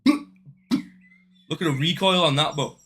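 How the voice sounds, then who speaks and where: A man's voice: on the high side, slow pace, fairly bright tone, slight background noise. A young man chatting casually on a live trading stream, slangy and swearing as he banters with viewers. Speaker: day trading livestreamer.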